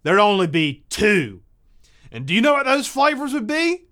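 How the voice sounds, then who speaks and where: Man acting as small-town American dad, folksy, deliberate, proud, lively pitch swings, comic anecdote. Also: exaggerated voice. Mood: leading, instructive.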